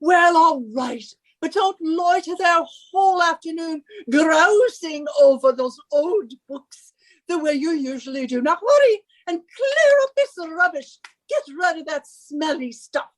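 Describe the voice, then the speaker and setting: A woman's voice: extremely high pitch, expressive, measured pace, low-quality recording. A woman playing a bossy, scornful wife who nags and scolds in a suspense radio play. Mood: bossy, impatient. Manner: imperious, scolding, henpecking. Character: bossy, nagging British wife of a professor, scornful.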